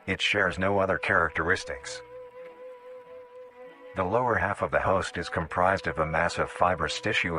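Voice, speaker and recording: A man reads scripted documentary narration in a measured, instructional way, like the narrator of a nature film. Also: sits low, sharp tone. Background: background music.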